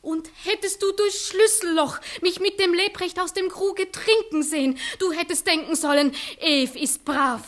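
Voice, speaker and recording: An actress playing a proud, wronged village maiden in a classical German radio play, impassioned and declamatory. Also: female voice, very high voice, normal speed, hardly any pauses, fairly bright tone. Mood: wounded reproach. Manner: impassioned, declamatory, breathy reproaches. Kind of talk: 1960s German-language classical radio acting.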